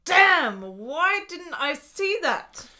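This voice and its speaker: Bright, intense, sharp-witted woman. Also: very high voice, big pitch swings, very slow, exaggerated voice. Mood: indignant. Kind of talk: radio play dialogue.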